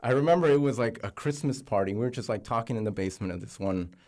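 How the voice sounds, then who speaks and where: A man's voice: mid-range voice, animated, fast, darkish tone. An American man hosting a podcast, chatting casually as he interviews a guest. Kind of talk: casual chat.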